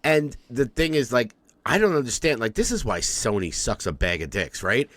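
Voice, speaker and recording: A man's voice: medium pitch, big pitch swings, moderate pace, a bit bright, no echo. A man co-hosting a casual movie podcast, rambling through his points with lots of fillers, restarts and swearing. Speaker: podcast co-host.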